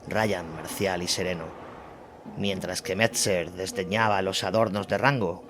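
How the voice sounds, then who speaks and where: A man reads scripted first-person narration of a war story. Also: on the low side. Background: instrumental music.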